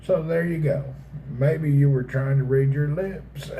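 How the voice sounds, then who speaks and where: A middle-aged man with a Southern American drawl hosts his own live stream, joking with viewers and cussing casually. His voice is mellow and dark, not bright. A faint background hum runs under his talk. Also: fairly high pitch, takes it slowly. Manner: folksy, joking, reads chat aloud, swears casually. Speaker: livestream host.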